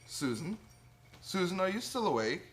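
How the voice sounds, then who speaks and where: A man playing a kindly, playful old Santa Claus in a radio play, gentle and coaxing, with hesitant little interjections. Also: sits high, big pitch swings, normal speed, heavy background noise. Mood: tender.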